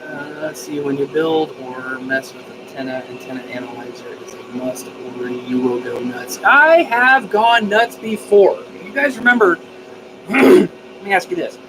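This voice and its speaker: An enthusiastic man with a slightly high-pitched voice hosts a casual live stream. He talks in a folksy, informal and effusive way, with clear background noise behind him. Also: animated, a little slow. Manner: folksy, informal, mildly profane. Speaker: live stream host of a DIY radio channel.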